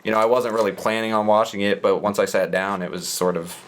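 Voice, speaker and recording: A man's voice: fairly low pitch, fairly even intonation, quick pace. A man talking casually as a podcast guest, easygoing and often with a light laugh in his words.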